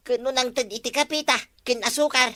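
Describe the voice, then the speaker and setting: A woman with an Ilocano accent playing a chatty, gently scolding villager in a comedy drama. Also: high-pitched, fairly even intonation, a little fast, no room sound. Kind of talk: comedy drama acting, conversational.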